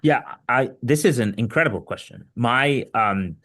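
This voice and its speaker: A man's voice: mid-range voice, normal speed, fairly bright tone. A man who grew up in Egypt, speaking as an invited surgical expert, giving earnest, emphatic explanatory answers in a webinar question session.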